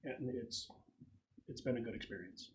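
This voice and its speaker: Man, game master, conversational explanatory narration, medium pitch, noisy room with clicks. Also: moderate pace. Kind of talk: casual tabletop game narration.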